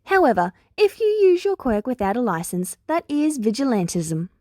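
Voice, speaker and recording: A woman's voice: fairly high pitch, sing-song, normal speed, darkish tone, no room sound, clean studio sound, voice pushed up. A woman voicing a cheerful, shrewd little male animal principal in an audiobook-style story, blithe and inquisitive. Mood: bright, probing.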